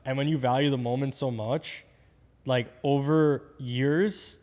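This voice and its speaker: A podcast host, male, with an average-pitched voice, keeps things laid-back and slangy. He chimes in often with short agreeing sounds and quick questions. His voice carries a long reverb. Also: steady tone, a little mellow. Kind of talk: casual podcast interview.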